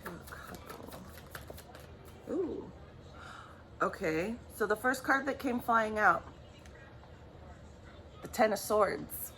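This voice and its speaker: A woman giving a tarot reading in a chatty, rambling way, apologizing often, her voice raspy from just waking up. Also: mid-range voice, lively intonation, slow, long pauses, a bit bright, slight background noise. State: raspy morning voice. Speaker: tarot reader. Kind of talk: casual vlog talk.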